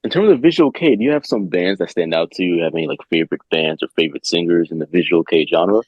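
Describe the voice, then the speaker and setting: A man's voice: deep voice, quick pace, breathy edge, dry recording, lo-fi sound. A man hosting a casual video-call interview, upbeat and chatty with lots of fillers. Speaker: host.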